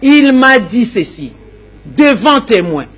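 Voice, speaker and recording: A woman's voice: fairly high pitch, big pitch swings, slow pace, slight background noise. A woman, an opposition politician answering interview questions emphatically, repeating herself and stammering while she searches for words. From Democratic Republic of the Congo.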